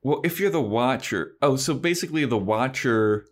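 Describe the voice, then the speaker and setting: A man's voice: mid-range voice, animated, normal speed. A man hosting a podcast, chatting casually and informally about a TV show. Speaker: podcast host.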